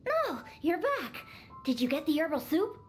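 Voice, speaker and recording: A woman's voice: sits high, sing-song, normal speed, slight background noise. A woman voicing a bossy, exasperated young cat-girl daughter in a video game, scolding and matter-of-fact. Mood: bossy, instructing. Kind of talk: video game character acting. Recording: faint game music behind the voice. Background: game music.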